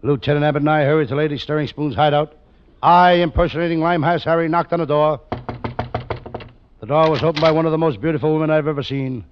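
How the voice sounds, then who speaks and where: An actor playing a world-weary, wisecracking private detective in an old-time radio comedy, delivering puns and narration deadpan. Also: male voice, fairly high pitch, fast talker, natural voice. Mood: deadpan, narrating. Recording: muffled, very noisy old radio recording.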